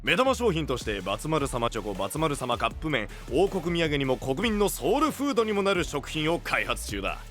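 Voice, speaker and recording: Brash anime knight leader, big-hearted, lively, a bit higher than most men. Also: male voice, fairly quick, few pauses, soft background music. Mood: proud, earnest. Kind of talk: anime audio drama acting.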